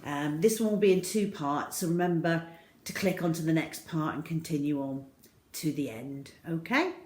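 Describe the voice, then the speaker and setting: A woman reading to children like a primary teacher, expressive, with deliberate emphasis. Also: low-pitched, roomy sound. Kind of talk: children's storytelling, expressive narration.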